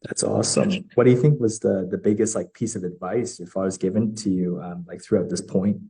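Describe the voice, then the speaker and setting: A man interviewing a young athlete, asking questions in a relaxed, friendly way. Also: deep voice, a little fast, creaky.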